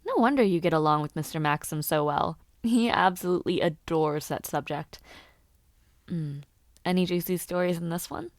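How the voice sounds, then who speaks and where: A woman's voice: lowish voice, moderate pace, no echo, clean studio sound, close to an everyday voice. A woman voicing a chatty young noblewoman who loves gossip, talking casually and teasingly with frequent laughs. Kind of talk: audio roleplay addressed to the listener.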